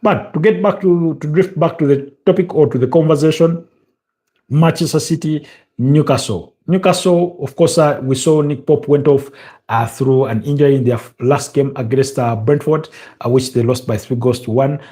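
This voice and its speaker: Hesitant Ugandan man, mellow voice. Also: on the high side. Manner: halting, many fillers and restarts. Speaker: football preview host. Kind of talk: casual live-stream football talk.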